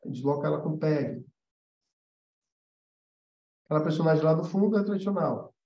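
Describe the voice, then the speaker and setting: High-pitched male voice, Brazilian accent, mellow tone, informal teacher, emphatic explanations. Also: moderate pace. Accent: Brazilian Portuguese. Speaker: animation teacher and studio animator. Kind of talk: informal live-stream lesson. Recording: roomy echo, slightly dull sound.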